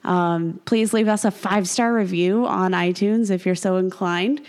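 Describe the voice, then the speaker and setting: A woman co-hosting a casual fantasy football podcast, her voice a little raspy from a cold, talking in a chatty, self-mocking way. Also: medium pitch, measured pace, a little mellow. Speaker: podcast co-host.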